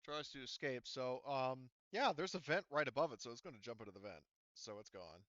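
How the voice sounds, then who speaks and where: A man's voice: mid-range voice, expressive, brisk, a bit bright, no echo, lo-fi sound. A man acting as game master, casually narrating a tabletop roleplaying game, often stopping to correct himself.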